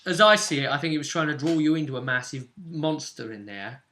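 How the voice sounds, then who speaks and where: A man's voice: on the high side, animated, a little fast, bright, forceful tone. A British man casually recapping a tabletop role-play session as game master, telling it like a story in loose, broken pieces.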